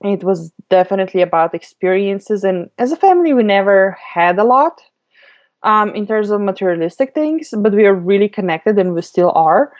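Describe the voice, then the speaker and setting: A woman's voice: mid-range voice, expressive, normal speed, a little mellow. A woman from Slovenia, chatting as a guest on an interview podcast, laughing easily with many ums. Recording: dull lo-fi audio.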